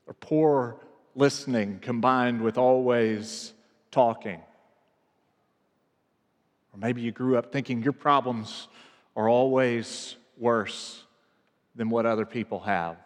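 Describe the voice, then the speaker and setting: A man's voice: medium pitch, in a large hall. A man from the American South preaching a reflective sermon as pastor, earnest and building in emphasis.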